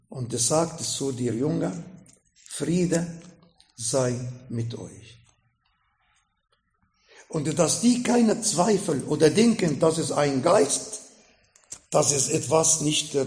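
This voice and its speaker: A man with an Arabic accent preaching a sermon in slow, emphatic phrases that rise sharply in pitch. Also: a little mellow, roomy sound. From Middle East. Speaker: pastor.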